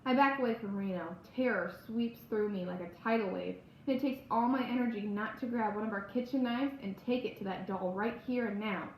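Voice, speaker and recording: A woman reads a spooky first-person children's novel aloud in a dramatic, expressive storytelling manner. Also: medium pitch, brisk, hardly any pauses. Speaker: audiobook-style reader. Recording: roomy echo, faint background noise.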